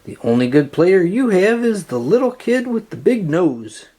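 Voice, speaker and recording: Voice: mid-range voice, sing-song, normal speed, warm tone, voice pushed up. A narrator voicing a blunt, confident tomboy girl in a children's storybook, with a breezy manner.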